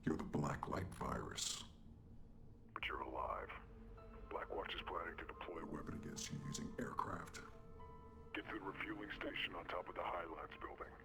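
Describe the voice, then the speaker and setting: A man playing a cold, secretive informant in a video game cutscene, speaking in hushed, gravelly tones with ominous, clipped revelations. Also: a bass voice, normal speed, music in the background. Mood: grave, insistent. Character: shadowy male informant, cold, secretive, briefing a mutant.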